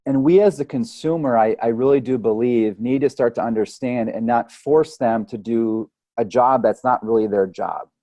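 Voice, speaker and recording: Guy guest on a health podcast, mellow low-key tone, super dry sound, explains stuff with analogies, keeps saying right. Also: normal speed, hardly any pauses, dry recording. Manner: explanatory, uses analogies, often checks with "right?". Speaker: chiropractic or holistic health practitioner, podcast guest. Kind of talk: conversational interview explanation.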